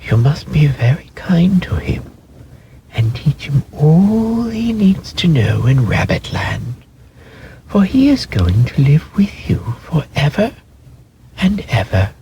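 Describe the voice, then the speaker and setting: Man voicing a breathy, high, gentle storybook fairy woman. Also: very animated, lo-fi sound, a little hiss or hum, full character voice. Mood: tender, reassuring. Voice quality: breathy, half-whispered. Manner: slow, tender, reassuring. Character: gentle, kindly female nursery magic fairy.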